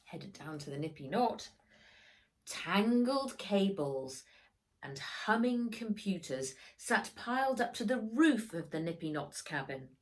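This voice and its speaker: Expressive, unhurried woman reading a storybook. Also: mid-range voice. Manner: deliberate, dramatic emphasis. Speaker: author reading her children's book. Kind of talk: expressive children's storybook narration.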